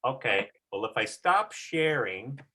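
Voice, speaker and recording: A drama coach with a bright, lively voice speaks at an unhurried pace. The delivery is encouraging and casual, giving practical direction over a video call. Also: mid-range voice. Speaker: drama coach leading a rehearsal. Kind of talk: casual coaching, demonstrating lines.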